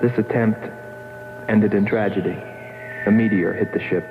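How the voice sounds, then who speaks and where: A man playing a haunted astronaut who narrates his voyage in a brooding, deliberate, dramatic 1960s film style. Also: fairly low pitch, fairly even intonation, noisy background, close to an everyday voice. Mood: sober recounting. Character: haunted male astronaut recounting his voyage, wistful and obsessed. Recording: tinny old film soundtrack under loud music.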